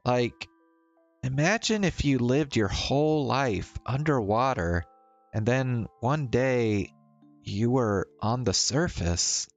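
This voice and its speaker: A man's voice: medium pitch, lively intonation, takes it slowly, dull audio, soft background music, pitched above the performer's own voice. A man voicing a tired, teasing and troubled young woman in a visual novel, with a casual, hesitant delivery. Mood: weary, uneasy. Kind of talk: visual novel dialogue read-aloud.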